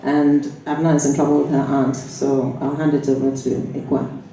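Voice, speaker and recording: A woman's voice: a bass voice, level tone, normal speed, mellow. A woman presenter giving an informal introduction to a reading. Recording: distant mic in very echoey room.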